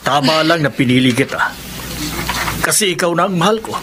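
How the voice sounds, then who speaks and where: A man's voice: a little high, expressive, normal speed, noisy background, close to an everyday voice. A man with a Filipino accent playing an exasperated, anxious middle-aged father in a radio drama. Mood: wistful, reflective.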